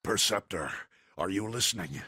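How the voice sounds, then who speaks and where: A man's voice: low voice, expressive, moderate pace, pushed and bright, no room sound, studio quality, exaggerated voice. A man playing a noble, stoic robot commander in a video game, solemn, formal and authoritative. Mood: commanding. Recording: clearly noisy game-scene background.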